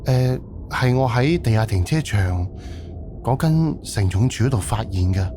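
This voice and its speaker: A man's voice: medium pitch, animated, unhurried, noisy background, lightly acted. A man acting a young, polite and inquisitive haunted-house owner in a Cantonese audio drama, asking hesitant questions. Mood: polite, probing. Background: background music.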